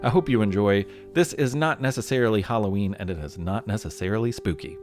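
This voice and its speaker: A man's voice: lowish voice, fast talker, soft background music. A man hosting and narrating a history podcast for children, reading a script in a conversational, playfully dramatic way.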